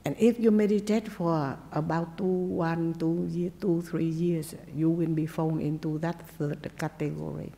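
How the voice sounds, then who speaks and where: Slow, low-voiced woman teaching meditation. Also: steady tone, creaky, in a large hall. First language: not English. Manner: gentle, simple phrasing with pauses and asides. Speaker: Buddhist nun teacher. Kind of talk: dharma talk and meditation teaching.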